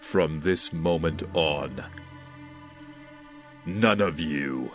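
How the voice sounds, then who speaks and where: An actor playing a grim masked vigilante in an audio drama, making cold, commanding, ominous pronouncements. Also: male voice, very deep voice, heavily acted. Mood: cold menace. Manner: slow, deliberate, ominous pronouncements. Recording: dramatic scary music behind.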